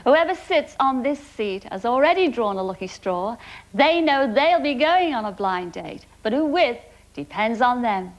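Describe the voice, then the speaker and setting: A woman's voice: on the high side, expressive, unhurried, lo-fi sound. A woman hosting a TV dating show, chatty and given to laughing as she presents.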